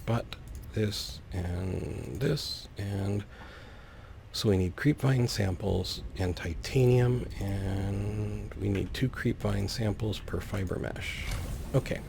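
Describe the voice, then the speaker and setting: A man narrates his gameplay in a low, gravelly voice. He takes his time, thinking aloud with whimsical little asides. There is faint background noise behind him. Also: slow pace, a little mellow. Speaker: let's player, host.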